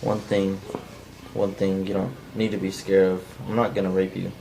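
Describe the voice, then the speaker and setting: A man's voice: sits low, normal speed, creaky, slight background noise, poor audio. A man reading the part of a menacing, bitter drifter in an unpolished script table read. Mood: wary, blunt. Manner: flat, unpolished amateur line reading. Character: menacing, bitter, down-on-his-luck male drifter intruder.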